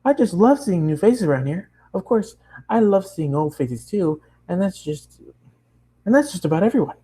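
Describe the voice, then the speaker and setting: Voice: medium pitch, animated, moderate pace, warm tone, breathy edge, voice pushed up. A narrator voicing a bubbly, hyperactive young female pony baker who gushes and chatters nonstop in a cartoon story. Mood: gushing, excited. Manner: gushing, squealing, breathless rambling chatter.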